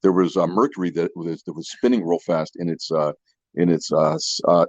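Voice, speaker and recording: A man's voice: sits low, steady tone, a little fast, no echo. A man co-hosting a podcast in casual, agreeable, folksy chat over dull, muffled lo-fi audio. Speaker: podcast co-host.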